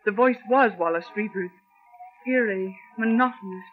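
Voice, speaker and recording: An actress playing an anxious, loyal secretary with intense melodrama in an old-time radio mystery. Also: female voice, mid-range voice, normal speed, natural voice. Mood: haunted dread. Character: anxious, loyal female secretary, pleading and dread-filled. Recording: dull old radio recording with music.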